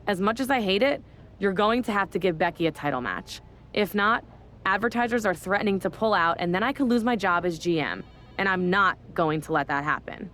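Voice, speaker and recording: A woman's voice: medium pitch, animated, brisk, fairly bright tone, a little hiss or hum, close to an everyday voice. A woman playing a bossy wrestling general manager with a stern, commanding manner in a video game cutscene. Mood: anxious, annoyed. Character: bossy, scheming female wrestling general manager, easily angered. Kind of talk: scripted game cutscene dialogue.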